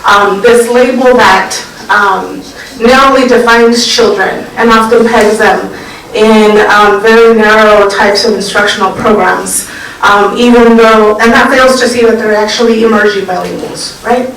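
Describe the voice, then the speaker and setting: A woman from California's Central Valley giving a prize acceptance talk with slides, speaking thoughtfully with frequent "um" pauses. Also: medium pitch, steady tone, a bit bright, slight background noise. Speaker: award recipient and education researcher. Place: lecture hall at an award event. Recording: echoey room, distant mic.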